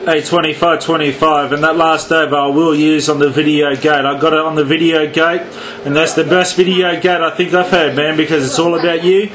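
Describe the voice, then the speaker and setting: High-pitched Australian man on CB radio. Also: little pitch movement, brisk. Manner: repetitive, showy catchphrases. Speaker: CB radio operator. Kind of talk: on-air CB radio chatter with slang sign-offs. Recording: static-laced radio ambience, background noise.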